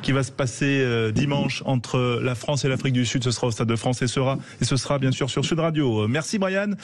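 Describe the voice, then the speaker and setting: A man hosting a live radio sports show in a studio, chatty and joking with guests and callers. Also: mid-range voice. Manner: chatty, joking, quick asides. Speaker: radio host.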